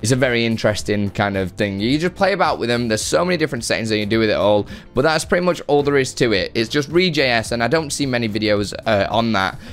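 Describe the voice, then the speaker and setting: A man in his thirties hosting a casual, chatty software tutorial at a close desk microphone indoors. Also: medium pitch, expressive, fast, hardly any pauses. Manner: chatty, informal. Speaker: tutorial host. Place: home room with guitars, indoors. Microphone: close desk microphone on boom arm.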